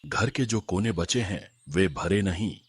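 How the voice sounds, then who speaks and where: An elderly landlord father, acted by a man in a cartoon fable. His voice is low and husky, very dry, and he speaks with measured, calm command. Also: no echo, exaggerated voice. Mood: instructing.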